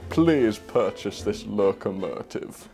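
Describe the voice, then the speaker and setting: Awkward, pompous-sounding train company spokesman played by a man, gravelly, halting, for a spoof promo. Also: lowish voice, animated, dark tone, soft background music, full character voice. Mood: earnest, pleading. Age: young adult. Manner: halting, stilted, overly formal. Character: awkward, solemn male model train company spokesman in mockumentary. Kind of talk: mock promotional interview. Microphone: lapel mic.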